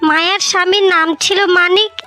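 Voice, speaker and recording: Woman storyteller for a cartoon, very high bright voice, expressive narration over music. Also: a little fast. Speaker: narrator and voice of the daughters. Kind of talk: scripted cartoon narration and voice acting.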